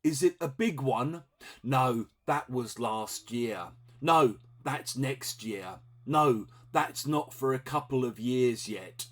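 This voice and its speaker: A middle-aged man explaining vocabulary to learners in a relaxed, conversational way, acting out short example dialogues. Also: mid-range voice. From England. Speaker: English teacher.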